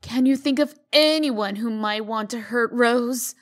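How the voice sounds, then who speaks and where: A woman's voice: fairly high pitch, a little slow, lightly acted. A woman playing a proud, composed fairy-tale heroine holding back worry, formal and firm in a comic dub drama. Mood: subdued, worried.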